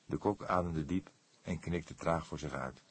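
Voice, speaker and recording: Deep, husky male audiobook narrator. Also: unhurried. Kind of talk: scripted detective story narration.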